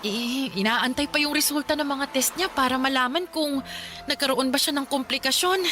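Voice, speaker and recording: Bright, high-pitched, harried mother. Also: normal speed. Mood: reassuring, harried. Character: caring, harried mother of a poor fishing family. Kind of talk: radio drama acting.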